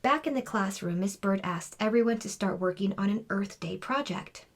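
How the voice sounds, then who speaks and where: A woman's voice: medium pitch, normal speed. A woman reading a children's storybook aloud as scripted narration. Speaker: children's book reader.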